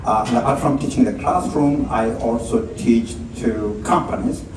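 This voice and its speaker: A man's voice: mid-range voice, moderate pace. A middle-aged man with a Kenyan accent lays out numbers and ideas, checking in with a rhetorical "right?". His voice is distant and swims in a very echoey hall, with crowd noise underneath. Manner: explanatory, asks rhetorical "right?". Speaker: computer science professor. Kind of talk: informal talk at a networking event. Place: large indoor event space. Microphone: handheld microphone, heard from far off.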